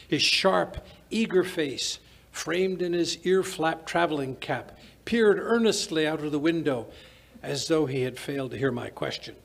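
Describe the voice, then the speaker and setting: An older man playing a puzzled, blustering English gentleman doctor in an old-time radio drama, narrating and sometimes stammering. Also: on the high side, measured pace, creaky. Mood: brooding narration. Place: small indoor stage. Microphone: stand microphone.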